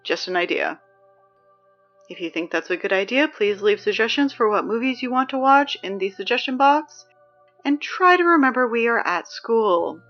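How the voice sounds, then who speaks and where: A woman's voice: on the high side, expressive, moderate pace, lo-fi sound, faint music behind, natural voice. A woman playing a dry, sarcastic teenage girl who reads the school announcements in an audio drama. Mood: chatty.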